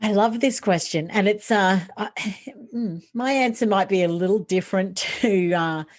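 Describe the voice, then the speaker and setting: A woman guest on a podcast interview, calmly explaining ideas and listing options in a steady, informative way. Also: medium pitch, unhurried. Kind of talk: casual interview, explaining tips.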